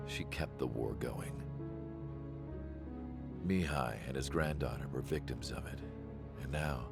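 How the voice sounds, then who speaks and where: A very deep, gravelly old man narrates a war game with weary regret. He speaks in a somber, reflective way, with loud music under the voice. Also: full character voice. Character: weary, guilt-ridden elderly male scientist recounting war. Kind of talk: scripted cinematic narration. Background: loud cinematic score.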